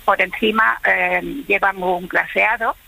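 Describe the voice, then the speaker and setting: Sharp, creaky woman caller. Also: medium pitch, steady tone, a little slow, poor audio. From Cantabria, northern Spain. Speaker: phone-in caller. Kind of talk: casual explanation.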